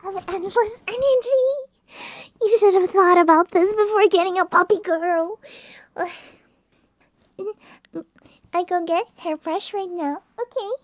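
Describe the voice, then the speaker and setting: A woman's voice: very high-pitched, normal speed, no echo. A young woman playing a clingy, playful puppy girl in an ASMR roleplay, whining and pleading to the listener. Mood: playful, bossy. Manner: whiny pleading with yips and giggles.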